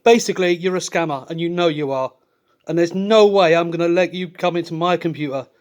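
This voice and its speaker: A man's voice: on the high side, fairly quick, clear, resonant tone, lo-fi sound. A man taking a scam call, skeptical and blunt as he challenges the caller. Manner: skeptical, blunt, increasingly confrontational. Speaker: call recipient confronting a scammer.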